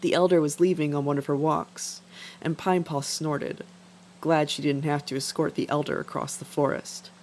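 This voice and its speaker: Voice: medium pitch, little pitch movement, normal speed, a little mellow, slight background noise. A narrator reading an animal adventure story as scripted narration. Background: faint background music.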